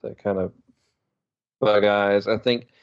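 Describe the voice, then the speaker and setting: Kinda low voiced guy on a podcast, hesitant casual talk, bit of a dull lo-fi mic. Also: little pitch movement, slow pace, clean tone. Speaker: podcast co-host. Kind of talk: casual chat.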